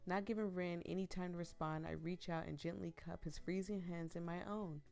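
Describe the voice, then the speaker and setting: A young woman reads a visual novel aloud, her voice a little hoarse as she gets over the flu. She keeps breaking off into giggly, rambling chats with her viewers, then drops back into reading. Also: fairly low pitch, steady tone, normal speed, a little mellow, soft background music. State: losing her voice after the flu. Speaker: streamer playing a visual novel.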